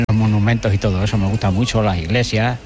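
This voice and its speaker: A man being interviewed outdoors as a tourist, answering slowly and plainly. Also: on the low side, fairly even intonation, soft background music. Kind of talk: street interview. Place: outdoor town square. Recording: off-mic outdoors with street noise.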